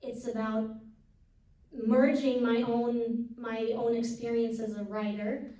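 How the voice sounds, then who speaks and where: A woman translator at a book event, answering an audience question in a halting, thoughtful way with many restarts. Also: fairly high pitch, level tone, warm tone. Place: event hall. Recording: echoey hall, distant mic, faint murmur.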